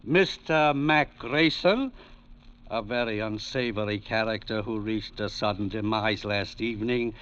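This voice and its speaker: An actor playing a grandiloquent, shaky skid row drunk with pompous, florid diction in a 1940s radio drama. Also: male voice, on the low side, unhurried, fairly bright tone, clean tone. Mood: wheedling, pleading. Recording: dull, band-limited vintage radio recording.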